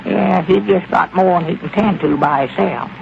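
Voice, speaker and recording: A high, gravelly old country storekeeper. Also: male voice, normal speed. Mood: easygoing. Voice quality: folksy rural drawl with plain country grammar. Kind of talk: 1930s radio comedy acting. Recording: lo-fi old radio broadcast.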